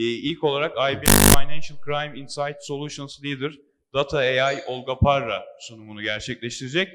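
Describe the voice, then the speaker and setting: A man's voice: mid-range voice, fairly bright tone. A man hosting an event, formally introducing the next speakers. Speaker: event host. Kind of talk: event announcement. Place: conference hall. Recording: long hall reverb, faint noise.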